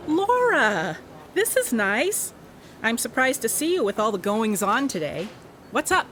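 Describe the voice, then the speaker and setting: An actress playing a sharp, persistent woman investigator with a wry, questioning manner in a radio drama. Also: fairly high pitch, very animated, unhurried, slight background noise, low-quality recording. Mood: disarming. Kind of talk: contemporary radio drama acting.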